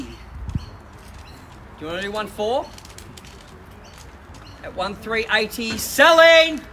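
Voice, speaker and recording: A man with an Australian accent working as an auctioneer, calling bids at a live property auction on a suburban driveway outdoors and pressing the bidders to act quickly. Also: extremely high pitch, background noise. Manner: brisk, persuasive, pushing bidders to act.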